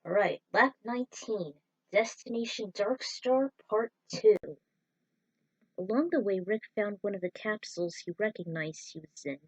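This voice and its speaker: A woman narrating and recapping a fan-written story in a casual, chatty way, pausing to correct herself. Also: medium pitch, poor audio. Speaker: fan fiction reader and narrator.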